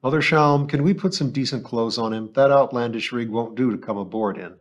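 A man doing a gruff, blunt ship captain. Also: mid-range voice, a little fast, close to an everyday voice. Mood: brusque. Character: gruff middle-aged male ship captain.

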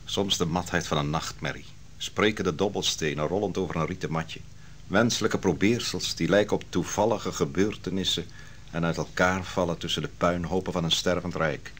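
An actor playing the prophet Daniel, a grave and calm seer, speaking in a measured, solemn way in a vintage radio drama. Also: male voice, low voice, a little hiss or hum.

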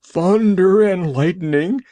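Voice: mid-range voice, slow pace, warm tone, dry recording, voice pushed up, close to an everyday voice. A sulky pirate captain from a storybook, forced to give up the sea, as a storyteller puts him on. He groans and grumbles in a strained, raised voice with an odd, altered timbre, and the reading is dry and close. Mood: grumbling. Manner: groaning, complaining. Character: defeated male pirate chief, gruff and grudging.

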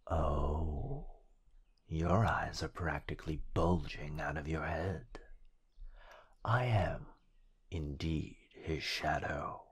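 A man voicing a possessive, teasing living shadow in an ASMR roleplay, breathy and whispery, drawing out his menacingly sweet words. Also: rumbling low, heavily acted. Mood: teasing, sinister. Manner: drawn-out, menacingly sweet delivery.

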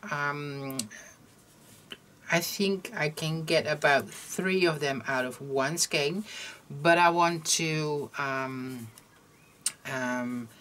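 Slow, low-voiced Dutch woman teaching crochet. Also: a bit bright, slight background noise. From Netherlands. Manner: hesitant, many ums while searching for English words. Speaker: crochet tutorial host. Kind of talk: casual instructional talk. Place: indoors at a table, hands over crafts.

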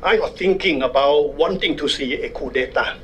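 Older man, Thai accent, public forum lecture, wry measured delivery, desk microphone, faint background noise. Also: a little high, a bit bright. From Thailand. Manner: wry, measured, self-deprecating humor. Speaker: guest speaker at a public forum. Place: university conference room.

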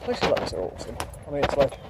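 Man, vlogger, rambling woodland walk, phone mic, loud traffic noise behind. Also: medium pitch, rapid-fire. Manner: rambling, relaxed, thinking aloud. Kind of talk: casual walking commentary. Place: woodland outdoors. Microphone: handheld phone.

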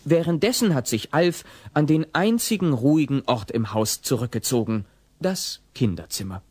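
A man with a husky voice narrating a scripted radio play. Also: medium pitch, animated, normal speed, darkish tone, dry recording.

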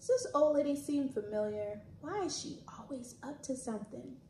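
A woman in her thirties hosting children's storytime, reading picture books aloud in an expressive, sing-song way. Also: sits high, normal speed, slight background noise. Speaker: children's storytime host at a library. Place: indoors, small plain-walled room. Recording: roomy echo from camera mic.

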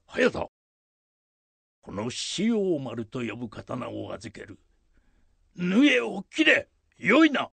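A man acts a commanding samurai lord in a period radio drama. The voice is gravelly and a little high for a man, with slow, deliberate archaic phrasing. The delivery is authoritative and resolute, with wide swings of pitch. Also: wildly swooping pitch, sharp tone, dry recording, exaggerated voice. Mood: grave, urgent.

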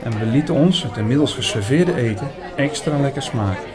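A man playing an earnest older priest who narrates a radio drama in a measured way, with dramatic pauses. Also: medium pitch, lively intonation, close to an everyday voice. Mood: calm. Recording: busy chatter behind the voice. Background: busy restaurant crowd.

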